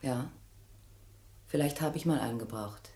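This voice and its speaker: An actress playing a weary, defensive woman in a German radio crime drama, sharp and dismissive. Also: very deep voice, normal speed. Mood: bitter, defensive. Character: weary, bitter, defensive woman rebuffing a man.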